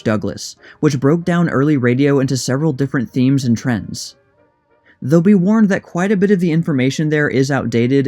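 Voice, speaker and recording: A man's voice: mid-range voice, darkish tone. A man narrating a scripted history documentary in a plain, informative manner. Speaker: narrator and creator of a history channel.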